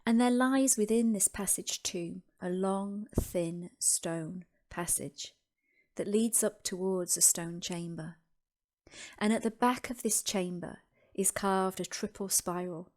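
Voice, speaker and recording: A woman narrating a scripted guided meditation as host, with deliberate, reverent phrasing and pauses. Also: mid-range voice, darkish tone.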